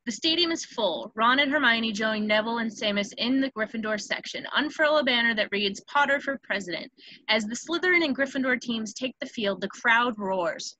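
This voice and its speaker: A woman's voice: fairly high pitch, sharp tone, no room sound, rough recording. A woman reading stage directions as narrator of a screenplay table read.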